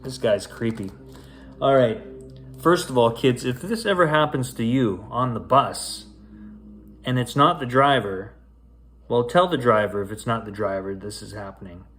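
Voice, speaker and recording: A man's voice: medium pitch, a bit bright, a little hiss or hum. A man reading a children's bedtime story aloud in a theatrical, suspenseful way. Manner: theatrical, drawn-out, suspenseful delivery. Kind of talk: dramatic storybook read-aloud with casual asides. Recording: roomy, echoey sound.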